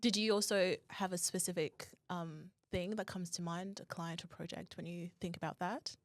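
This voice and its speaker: A woman's voice: fairly low pitch, fairly even intonation, normal speed, dry recording. A woman hosting a podcast interview in a conversational style. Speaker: podcast host.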